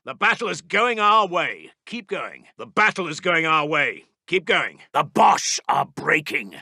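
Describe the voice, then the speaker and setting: A man with a British accent playing a brisk, stiff-upper-lipped Second World War army intelligence officer making formal announcements in a video game. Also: fairly high pitch, gravelly, bright, forceful tone, no echo, studio quality, exaggerated voice. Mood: rousing, triumphant. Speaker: military announcer.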